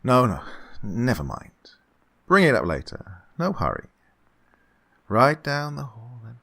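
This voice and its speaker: A man's voice: mid-range voice, wildly swooping pitch, measured pace, vocal fry, a bit bright, heavily acted. A man playing a falsely genial, sinister employer in a radio suspense drama, over-courteous, unctuous and insistent. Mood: bustling, courteous. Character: falsely genial, sinister male employer luring a young woman. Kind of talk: old-time radio suspense drama acting.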